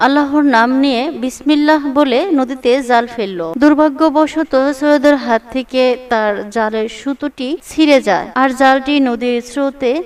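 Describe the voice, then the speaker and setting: High-voiced woman narrating a story. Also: moderate pace, hardly any pauses, clean tone, recorded from a distance. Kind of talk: scripted children's story narration.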